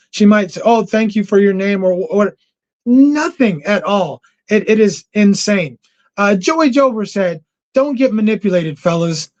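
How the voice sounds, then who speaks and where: A man hosting a casual livestream and taking callers, animated, often repeating himself for emphasis. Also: sits high. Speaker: livestream host. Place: home. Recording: dull lo-fi stream audio.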